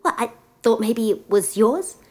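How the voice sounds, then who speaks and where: A woman's voice: on the high side, lively intonation, measured pace, darkish tone, slightly rough. A woman voicing a brave young princess who speaks hesitantly and stammers. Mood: shy.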